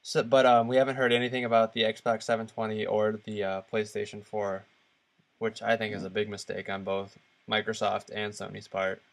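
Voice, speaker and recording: A man co-hosting a podcast, speaking casually and haltingly as he searches for his words. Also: fairly low pitch, level tone, creaky. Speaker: podcast co-host. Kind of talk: casual discussion of video games.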